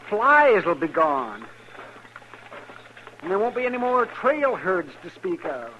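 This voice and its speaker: Sociable western town doc, very high pitch, animated, wry, chatty, muffled vintage radio with faint hiss. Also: male voice, slow, exaggerated voice. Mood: jovial. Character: cheerful, sociable male frontier town doctor. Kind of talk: 1950s radio western acting. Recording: dull, noisy old radio recording.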